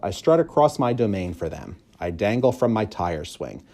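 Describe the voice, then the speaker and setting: A man reading a children's story aloud in expressive, measured, clearly phrased narration. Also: fairly low pitch. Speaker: audiobook reader, school staff member.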